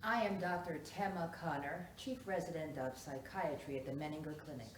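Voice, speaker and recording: A woman playing a formal psychiatrist on the witness stand in a stage play, speaking in a measured, clinical, deliberate way. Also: low voice, close to an everyday voice. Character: formal female psychiatrist testifying as expert witness. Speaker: actor in a one-act play. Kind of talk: stage courtroom drama acting. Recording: echoey room, distant mic, noisy.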